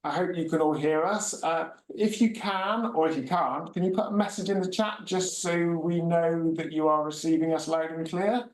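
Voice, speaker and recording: A man's voice: a little high, normal speed, few pauses. A man hosting a university webinar panel, friendly and chatty with many filler sounds. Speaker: host, university regional manager. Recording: echoey room, mic at a distance.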